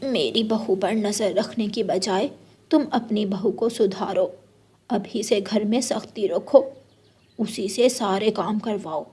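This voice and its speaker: A woman's voice: mid-range voice, slow pace, warm tone, husky. A woman voicing a blunt, gossipy older neighbour mother-in-law bird in a children's cartoon, complaining and giving reproachful advice. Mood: advising. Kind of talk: Hindi children's cartoon story voice acting.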